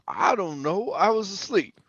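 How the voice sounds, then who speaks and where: A man's voice: sits high, expressive, unhurried, fairly bright tone, breathy edge, no room sound, muffled recording, heavily acted. A man playing a jittery, haunted oil rig worker telling his suspense story in colloquial, stammering old-time radio style. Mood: defensive, nervous. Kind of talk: old-time radio drama narration, first-person suspense.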